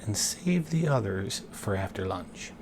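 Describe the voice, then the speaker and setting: A man's voice: fairly low pitch, sing-song, unhurried, gravelly, background noise, exaggerated voice. A man voicing a cheerful, hungry husband in a children's storybook, exclaiming and drawing out words. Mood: eager.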